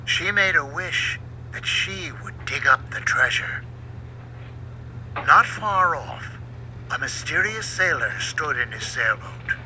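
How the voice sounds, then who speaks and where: Bright-voiced man reading a children's story, slow and animated. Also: on the high side, rough recording. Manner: expressive, dramatic read-aloud delivery. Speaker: book narrator. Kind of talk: scripted storybook narration for children. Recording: home recording with faint background noise.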